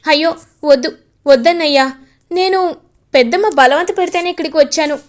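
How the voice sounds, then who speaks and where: A woman's voice: high voice, a little fast, close to an everyday voice. A woman voicing a tearful young married sister pleading with her brother.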